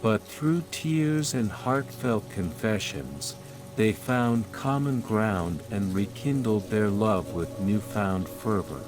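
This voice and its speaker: A man with a fairly low voice narrates a bedtime story. His delivery is calm, soothing and very unhurried, each phrase measured out for sleep. Gentle music and rain play behind him. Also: low-quality recording. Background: calm music and rain sounds.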